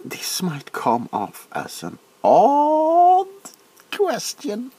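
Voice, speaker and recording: Man playing a breathy, flustered doctor, wildly swooping pitch. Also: full character voice. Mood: awkward, curious. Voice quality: soft-spoken, breathy. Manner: hesitant, repetitive, theatrical, often dropping into whispers. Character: nervous, flustered, eccentric male doctor examining a monster. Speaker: ASMR performer. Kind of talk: soft-spoken comedic ASMR doctor roleplay. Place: small cluttered room at home. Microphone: close to the camera.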